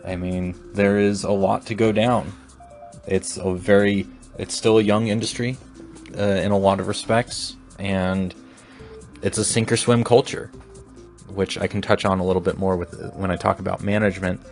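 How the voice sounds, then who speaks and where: A man's voice: low-pitched, steady tone, moderate pace, darkish tone, soft background music. A man hosting his own solo podcast, talking reflectively and rambling a little with restarts. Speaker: podcast host.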